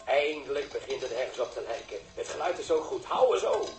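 A man's voice: medium pitch, lively intonation, fairly quick, natural voice. A man acting a sarcastic, declamatory outsider in a 1960s radio drama. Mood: mocking approval. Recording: noisy old 1960s radio recording.

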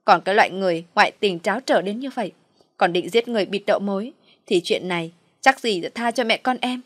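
A woman's voice: mid-range voice, fast talker, no room sound, close to an everyday voice. Woman plays scheming pregnant wife for audio drama: calculating, scornful or wheedling, dry close sound. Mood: coaxing, sly.

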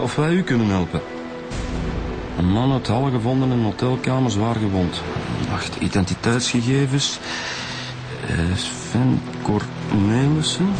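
A man's voice: medium pitch, lightly acted. An actor playing a polite, methodical male police inspector with a Flemish accent and a hesitant, businesslike manner, in a radio drama. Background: music.

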